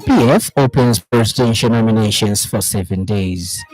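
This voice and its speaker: Low-voiced Zambian male newsreader, dry sound. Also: a little mellow, no room sound, faint music behind, low-quality recording. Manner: formal, measured announcing. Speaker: radio news presenter. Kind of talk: scripted news bulletin reading.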